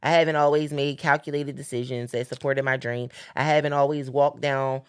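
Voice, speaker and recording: Podcast host, woman, very deep voice, earnest preacherly cadence, dry close sound. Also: fairly even intonation, brisk, clean tone, dry recording. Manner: earnest, preacherly, repeats phrases for emphasis. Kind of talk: casual faith-based chat.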